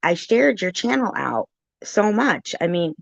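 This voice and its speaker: A woman's voice: mid-range voice, very animated, unhurried, creaky, dry recording. A blunt, combative woman doing reaction commentary, talking back and swearing freely, in a dull, lo-fi recording. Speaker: commentary channel creator.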